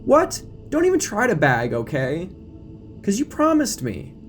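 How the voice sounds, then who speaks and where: Man putting on a higher-pitched bossy college girl. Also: huge pitch swings, measured pace, faint music behind, exaggerated voice. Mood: insistent. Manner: pushy, pleading, informal. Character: feisty, bossy young female college roommate.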